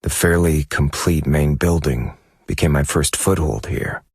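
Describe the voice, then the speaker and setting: An actor playing a brooding, troubled amnesiac novelist in a game, narrating a dramatic monologue with a gravelly, husky voice and a grave, measured manner. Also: male voice, extremely low pitch, dry recording, clean studio sound, exaggerated voice. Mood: measured, intent.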